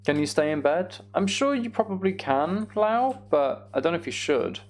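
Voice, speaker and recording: A young man giving casual, meandering gameplay commentary on a live stream, thinking aloud and chatting to viewers, with a creaky voice. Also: high voice, sing-song, moderate pace.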